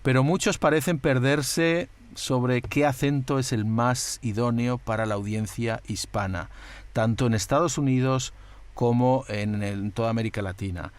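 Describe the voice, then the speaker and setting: An older man hosts an interview in a mid-range voice. He speaks in measured, explanatory sentences with hesitant fillers. He talks through a headset on a video call. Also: medium pitch, fairly even intonation, dry recording. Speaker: interviewer, host. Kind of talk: interview questions.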